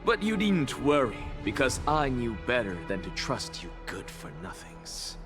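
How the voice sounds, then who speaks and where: An actor playing an arrogant scholar in a video game, giving grandiose, sardonic speeches to an assembly. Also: male voice, medium pitch, big pitch swings, measured pace, music in the background. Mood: grand, declamatory. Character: arrogant, theatrical male scholar mocking an assembly. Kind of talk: dramatic video game voice acting.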